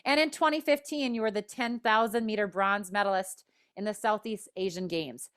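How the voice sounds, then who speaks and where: A woman's voice: mid-range voice, fairly bright tone, clean tone. A woman with a Minnesota accent hosting a panel conversation over a video call, upbeat and enthusiastic as she introduces her guests. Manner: upbeat, enthusiastic, quick restarts. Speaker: host.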